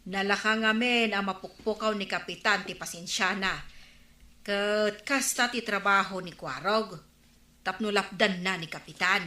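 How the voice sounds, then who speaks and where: A woman's voice: mid-range voice, unhurried, pushed and bright. An actress with an Ilocano accent playing an anxious, nagging village woman in a radio comedy-drama. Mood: indignant, worried. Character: anxious, nagging woman worried about the captain's temper. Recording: roomy echo, at times distant.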